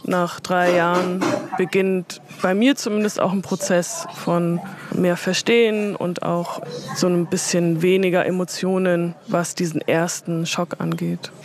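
A woman from Germany, an interview guest who works as an educator, giving reflective, measured answers. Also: on the low side, level tone.

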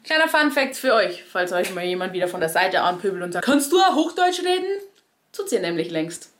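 A young adult woman from Bavaria with a Bavarian accent, a stage performer giving ironic, lively radio commentary. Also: mid-range voice, fairly quick.

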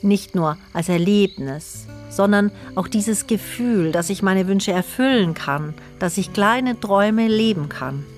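A woman narrating a radio feature in a calm, personal, questioning way. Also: medium pitch, a little slow, hardly any pauses. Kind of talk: scripted reflective narration. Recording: studio narration over music.

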